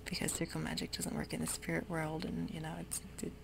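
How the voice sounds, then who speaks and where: A woman giving soft-spoken, whispery commentary while she plays a computer game, with frequent ums. Keyboard typing can be heard close to the mic. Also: sits low, completely flat delivery, brisk, vocal fry. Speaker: ASMR gaming creator. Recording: noisy home recording.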